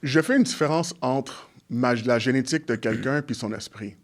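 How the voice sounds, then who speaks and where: An English-speaking man from Montreal talks through his views in French as a guest. He stops and restarts often as he hunts for the right words. Now and then an English phrase slips into his sentences. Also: mid-range voice. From Montreal, Quebec. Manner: halting, restarts often while searching for words. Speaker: guest, community organization leader. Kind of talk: conversational interview.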